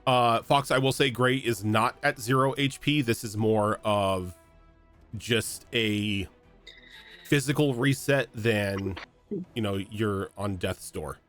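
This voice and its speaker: A man's voice: lowish voice, slow pace, a bit bright, faint music behind. A man running a tabletop game as game master, narrating with many fillers and restarts over an online call. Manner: hesitant, full of fillers and restarts.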